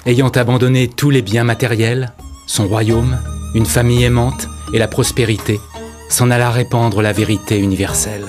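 Music plays clearly behind a male narrator of an animated film. His mid-pitched voice delivers scripted storytelling with measured solemnity.

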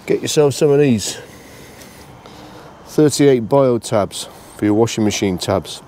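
A British man giving a casual, unscripted walkthrough tour, speaking haltingly and repeating phrases as he goes. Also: mid-range voice, warm tone, slight background noise. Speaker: vlogger giving a motorhome tour.

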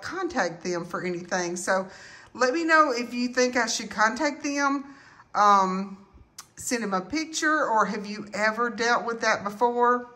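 Lady doing a casual vlog, slow halting rambly talk, medium pitch. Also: pushed and bright. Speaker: couponing vlogger. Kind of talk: casual chat to viewers. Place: indoors at home.

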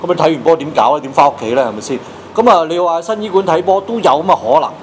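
Man, 50s, Hong Kong Cantonese accent, talk show host, chatty, moderately high pitch, light ambient noise. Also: expressive, racing. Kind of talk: casual interview chat. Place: small studio with padded acoustic panels.

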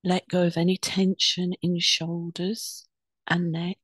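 A woman leading a guided meditation in a soothing, unhurried, instructing way with pauses between phrases. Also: on the low side, completely flat delivery, fairly bright tone, slightly rough, no room sound.

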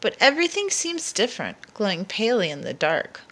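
A woman reading a children's picture book aloud as a storyteller, with expressive, deliberate narration and dramatic emphasis. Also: mid-range voice.

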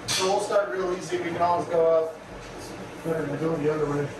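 A man's voice: fairly high pitch, steady tone, a little fast, echoey room, very noisy. A man who works as a professional welder, answering questions in short, plainspoken replies in a welding shop. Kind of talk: casual shop chat.

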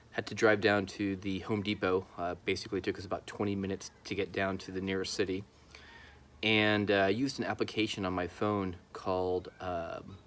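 Low-pitched man, casual vlogger. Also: a little hiss or hum. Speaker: YouTube host, amateur radio hobbyist. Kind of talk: casual explanatory talk to camera. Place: outdoors in a backyard.

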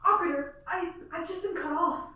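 Fretful invalid woman on the phone, played by a girl; high, bright, echoey and distant. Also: brisk, dull audio. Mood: anxious. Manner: anxious, pleading, fretful. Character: nervous invalid woman, frightened caller. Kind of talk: student radio play acting.

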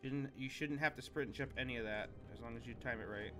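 A middle-aged man talks through a video game in a casual, laid-back way with some swearing. His pitch is average for a man. Game music plays clearly behind his voice. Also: fast talker. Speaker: gamer streaming a co-op playthrough. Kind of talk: casual gameplay chat. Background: game music and sound effects.

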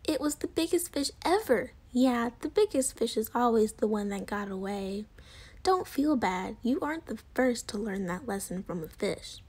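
A woman voicing a kindly female frog shopkeeper in a storybook, speaking softly and reassuringly. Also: fairly high pitch, lively intonation, normal speed, husky, voice pushed down.

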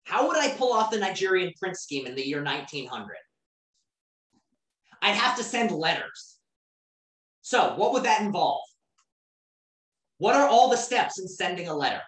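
A woman's voice: lowish voice, big pitch swings, normal speed, long pauses. A woman teaching an online class in a casual, conversational way, often asking the students questions. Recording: roomy, distant from the mic.

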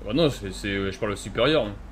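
A man's voice: fairly low pitch, lively intonation, fast, a crowd in the background. A man chatting casually and provocatively in slangy improvised game roleplay. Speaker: streamer playing a roleplay game.

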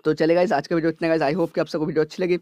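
A hoarse young man, a gaming YouTuber talking casually and rambling, filling every pause with "guys". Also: fairly high pitch, level tone, darkish tone, dry recording. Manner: repeats "guys" constantly, rambling filler-heavy delivery. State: hoarse from a cold. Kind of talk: casual screen-recorded gaming update.

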